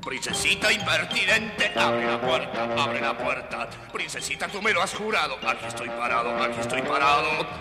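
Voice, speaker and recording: An actor playing an indignant, insistent toad who sings theatrically in an audio fairy tale. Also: male voice, medium pitch, animated, moderate pace, few pauses, natural voice. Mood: insistent, accusing. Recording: echoey hall reverb, loud music.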